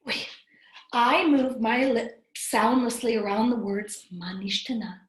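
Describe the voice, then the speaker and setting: A woman reading a storybook aloud to young children in an animated, expressive way, breaking off to chat with them. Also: on the high side, takes it slowly. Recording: roomy, echoing livestream sound.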